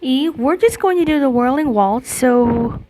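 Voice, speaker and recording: High-voiced, slow-talking woman gamer. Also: animated, background noise. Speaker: gaming streamer. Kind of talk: casual gameplay commentary. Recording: game music behind the voice.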